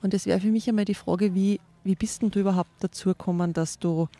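A woman's voice: mid-range voice, fairly even intonation, quick pace, dark tone, dry recording. The Austrian woman you would hear introducing a travel podcast episode through headphones, her crackly voice right up close in a dry space. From Austria (born in Carinthia, grew up in Styria). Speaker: podcast host. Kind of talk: travel podcast narration and interviewing.

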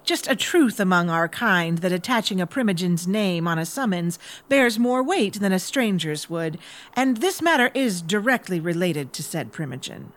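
Woman acting a low-voiced, formal vampire envoy. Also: animated, natural voice. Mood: polite, composed. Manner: formal, measured wording. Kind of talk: audio drama acting.

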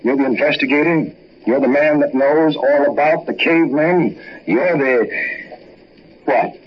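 A man's voice: fairly high pitch, unhurried. An actor playing a menacing, mocking, vengeful man in a 1940s radio horror drama, speaking with taunting deliberation. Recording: muffled 1940s radio recording.

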